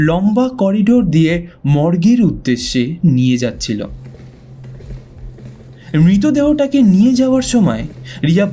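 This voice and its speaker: High, animated male storyteller. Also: a little hiss or hum. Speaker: horror story narrator. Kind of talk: dramatic scripted ghost story narration. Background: background music.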